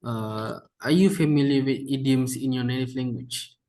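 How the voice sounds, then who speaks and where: A man's voice: mid-range voice, normal speed, dark tone. A young man interviewing a fellow student, reading out prepared questions in a casual way with filler sounds. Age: young adult. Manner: casual, adds fillers, calls the guest bro.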